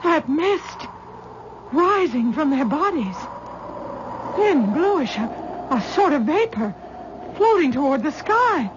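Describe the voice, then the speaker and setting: An actress playing an older woman in a 1970s radio drama, speaking slowly and deliberately. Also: high-pitched, big pitch swings, exaggerated voice. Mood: alarmed. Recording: dull 1970s radio recording with clear noise.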